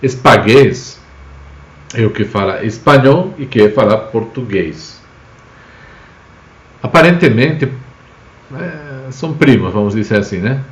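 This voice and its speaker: A man's voice: mid-range voice, expressive, slow pace, long pauses, roomy sound. A man from Spain, a native Spanish speaker with a Spanish accent, explains words with examples in an informal live language lesson as the teacher. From Spain, Basque Country.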